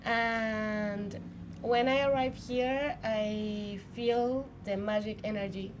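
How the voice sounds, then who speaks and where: A woman's voice: mid-range voice, fairly even intonation, slow, background noise. A woman who is not a native English speaker gives hesitant, unscripted interview answers. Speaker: interviewee in a short film.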